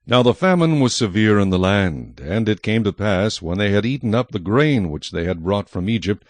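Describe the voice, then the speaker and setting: Deep, expressive male scripture narrator. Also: normal speed, no room sound.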